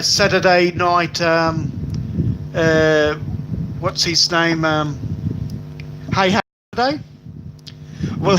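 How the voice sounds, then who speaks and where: An older Australian man chatting loosely on his live stream, rambling with many fillers. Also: on the high side. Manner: rambling, lots of fillers, stop-start phrasing. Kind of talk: casual live stream chat, reading comments aloud. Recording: noisy home recording.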